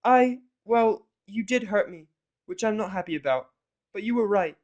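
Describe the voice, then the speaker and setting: Man doing a high, snarky young filly. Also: expressive, darkish tone, lo-fi sound. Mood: grudging. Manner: grudging, halting. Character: snarky, proud young filly, cartoon pony daughter.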